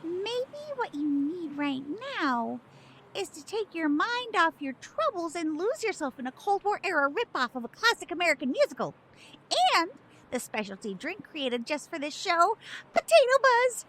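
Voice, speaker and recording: A woman's voice: very high voice, very animated, normal speed, a bit bright, full character voice. A woman voicing a bubbly, theatrical sidekick creature who adores musicals, in an audio drama. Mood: coaxing, upbeat.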